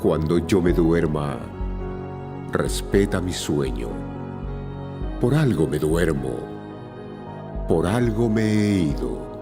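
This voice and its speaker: A man reciting poetry solemnly, in measured, dramatic phrases. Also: low voice, sing-song. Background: soft new-age music.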